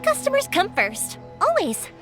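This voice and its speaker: High, bubbly young fireworks maker. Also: female voice, unhurried, music in the background, full character voice. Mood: reassuring. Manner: bubbly, talkative, expressive. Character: cheerful, chatty, big-hearted young female fireworks maker. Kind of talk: video game character dialogue.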